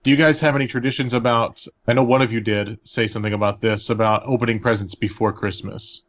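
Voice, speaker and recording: A man's voice: mid-range voice, fairly even intonation, fast talker. A man hosting a casual podcast chat, recorded with slightly dull, lo-fi audio. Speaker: podcast host.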